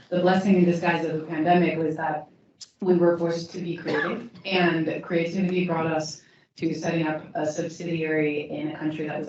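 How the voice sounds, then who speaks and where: Low, flat-voiced woman in an echoey hall. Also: slight background noise. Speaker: startup founder on a panel. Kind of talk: panel discussion answer. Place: event hall. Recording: distant mic in echoey hall, dull sound.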